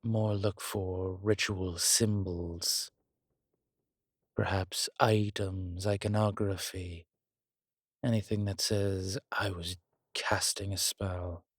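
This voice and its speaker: Man acting as a husky, deep-voiced, unhurried immortal demonologist. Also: flat delivery, no room sound, studio quality. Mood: wry, encouraging. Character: long-lived male demonologist professor, calm, wry, protective. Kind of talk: slow intimate audio roleplay acting.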